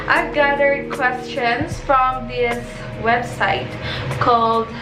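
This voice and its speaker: A young woman with a high voice chatting casually as a vlogger, reading out questions with an upbeat manner. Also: fairly even intonation, takes it slowly, noisy background. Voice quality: high-pitched. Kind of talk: casual chat. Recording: echoey room with background music.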